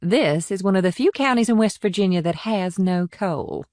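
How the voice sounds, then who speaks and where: A woman's voice: medium pitch, expressive, moderate pace, a little mellow, no room sound, clean studio sound, natural voice. A woman playing a confident, proud and flirtatious innkeeper in audiobook dialogue, with a poised, self-assured manner. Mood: proud, businesslike. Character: confident, proud, flirtatious businesswoman running an upscale inn.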